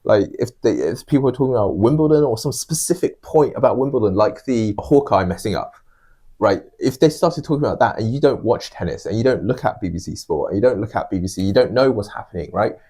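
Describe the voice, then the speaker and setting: A man's voice: on the low side, animated, fast, dark tone, breathy edge. A man co-hosting a podcast in casual discussion, making points with repetition and rhetorical questions. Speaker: podcast co-host.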